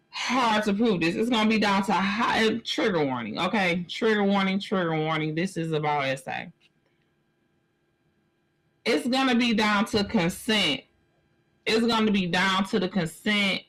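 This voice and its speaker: A woman's voice: mid-range voice, a bit bright, low-quality recording. Blunt woman in her forties, commenting. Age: 40s. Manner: blunt and emphatic, addressing the viewer as "sis". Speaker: live stream host. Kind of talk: casual commentary on a news story.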